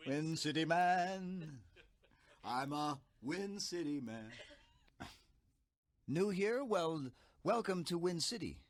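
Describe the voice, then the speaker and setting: A man's voice: on the high side, expressive, slow pace, pauses often. A man voicing a welcoming city local in a fantasy video game. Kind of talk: video game voice-over session.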